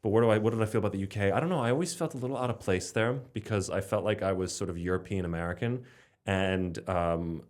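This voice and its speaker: A man's voice: sits low, quick pace, darkish tone. A young man from Slovenia chatting casually as a podcast guest, rambling with lots of fillers and restarts. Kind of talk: casual conversation.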